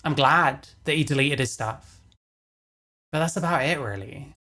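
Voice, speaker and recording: A man's voice: a little high, a little slow, pushed and bright. A man giving casual, matter-of-fact livestream commentary, blunt and prone to swearing. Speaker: stream co-commentator.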